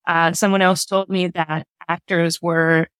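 Woman, lowish pitch, conversational storytelling, interview guest, dry sound. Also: level tone, unhurried, a bit bright, clear, resonant tone, no room sound. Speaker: interview guest, theater director.

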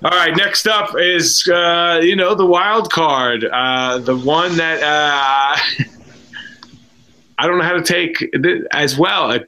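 A man in his thirties co-hosting a casual video-call stream, rambling and halting with many fillers. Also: fairly high pitch, steady tone, sharp tone, rough recording. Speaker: livestream co-host. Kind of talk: casual chat about reality TV contestants.

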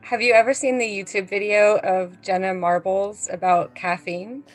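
A woman in her thirties talks in a casual group chat, with music playing behind her. She is animated and prone to exclaiming, with an ordinary mid-range female pitch. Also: moderate pace, low-quality recording. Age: 30s.

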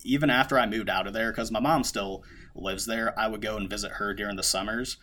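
A man's voice: on the low side, fairly even intonation, fairly quick, bright, forceful tone. A man in his twenties, a podcast guest, chatting casually and rambling with lots of fillers and restarts. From United States. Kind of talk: casual conversation.

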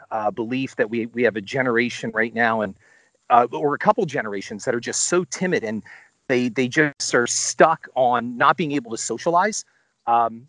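A male interviewer builds his questions slowly, pausing and rewording them mid-sentence. His voice sits at an ordinary pitch and is recorded dry and close. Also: a bit bright, dry recording, rough recording. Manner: thoughtful, halting, rephrases his questions.

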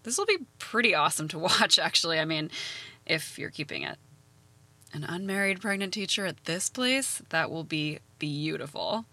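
A woman's voice: sits low, animated, moderate pace, bright, forceful tone, dry recording, full character voice. A woman playing a jaded, blunt schoolteacher with a dry, sardonic manner, in a naturalistic audio drama. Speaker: actor in an audio play.

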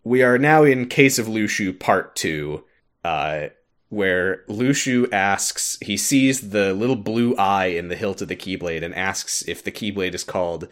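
A man's voice: deep voice, unhurried, fairly bright tone. A man hosting a podcast, casually recapping a video game in a wry, sweary way. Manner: wry, swears casually, acts out quoted lines. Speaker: podcast host.

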